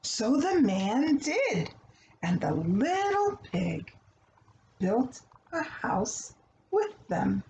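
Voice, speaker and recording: Very slow, expressive woman storyteller. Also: fairly high pitch. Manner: deliberate, expressive, with pauses between short phrases. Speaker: storybook reader. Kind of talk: children's read-aloud storytelling. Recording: roomy echo, light background noise.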